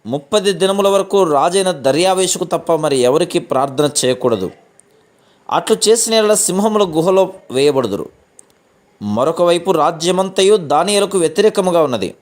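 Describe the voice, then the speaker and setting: A man with a slightly high voice reads a scripted devotional text. His delivery is formal and measured, in the manner of scripture reading.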